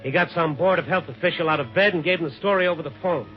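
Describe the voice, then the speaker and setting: An actor with an American accent playing a weary, wry newspaper reporter in a 1950s radio drama, both narrating and talking in scenes. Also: male voice, on the high side, quick pace, lightly acted. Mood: grave narration. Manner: wry, hard-boiled. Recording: dull 1950s radio recording with music.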